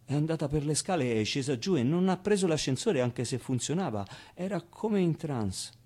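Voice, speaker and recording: A young orphan boy telling his story in a theatre monologue. The voice sits a little high, with halting, colloquial phrasing and small interjections. He is candid and streetwise but tender, speaking straight to his listeners. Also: expressive, mellow, breathy edge. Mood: hushed storytelling.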